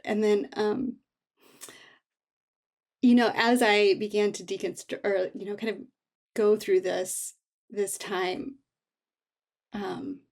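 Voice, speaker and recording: A woman author being interviewed, telling personal stories in a candid, thoughtful, halting way. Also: medium pitch, vocal fry, husky. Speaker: memoir author, interview guest. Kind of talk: casual, reflective personal storytelling.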